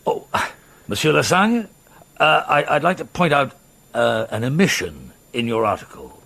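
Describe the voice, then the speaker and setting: A man's voice: mid-range voice, very animated, a little slow, vocal fry, pushed and bright, exaggerated voice. A man playing a patient middle-aged police inspector in a radio drama, speaking in a hesitant, wry murmur. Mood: polite, furtive. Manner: hesitant, murmuring, stammers mildly. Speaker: actor in the lead role. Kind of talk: naturalistic radio drama acting.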